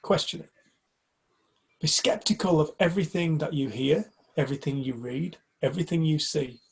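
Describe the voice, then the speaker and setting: Husky, gravelly-voiced man from the UK. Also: on the high side, moderate pace. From United Kingdom. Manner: reflective, hesitant with repeated restarts. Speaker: community group member. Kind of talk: casual group discussion.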